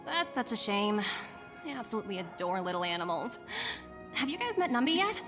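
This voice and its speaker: An actress voicing a poised, polite businesswoman debt collector in a video game, articulate and measured. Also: medium pitch, sing-song, natural voice. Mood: delighted. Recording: background music under the voice.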